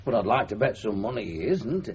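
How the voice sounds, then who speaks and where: An actor playing a kindly, frank middle-aged uncle, gently probing and halting in a 1970s radio drama. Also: male voice, lowish voice, expressive, breathy edge, heavily acted. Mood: reassuring, thoughtful. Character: kindly, frank uncle reassuring a young bride. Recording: dull, hissy 1970s radio broadcast.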